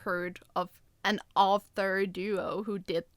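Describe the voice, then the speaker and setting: A woman's voice: medium pitch, flat delivery, slow pace, no echo, studio quality. A Danish woman co-hosting a podcast in casual chat, giggly and self-deprecating, speaking with a Danish accent. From Denmark. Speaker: podcast co-host and co-writer.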